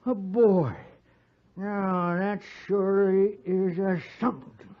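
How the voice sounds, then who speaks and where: A man's voice: sits high, steady tone, takes it slowly. An actor playing a drunken, boastful old frontiersman with rustic Western American speech in a 1950s radio western. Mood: stunned. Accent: rustic American West. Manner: folksy, blustering backwoods talk. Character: drunken, boastful, quarrelsome old frontier man, proud father. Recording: muffled vintage 1950s radio recording.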